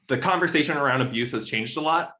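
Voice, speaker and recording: A man speaking as a podcast guest, discussing fiction casually in an emphatic, halting way. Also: mid-range voice, bright, forceful tone. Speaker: podcast guest, literary editor. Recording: roomy, echoey.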